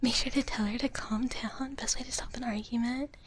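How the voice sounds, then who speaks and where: Soft whispering woman, playful ASMR streamer. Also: a little high, fairly even intonation, brisk, breathy edge. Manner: playful, rambling, repeats phrases, frequent "like". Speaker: livestream host, VTuber. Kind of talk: live ASMR whispering, casual chat with viewers. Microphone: close ASMR microphone.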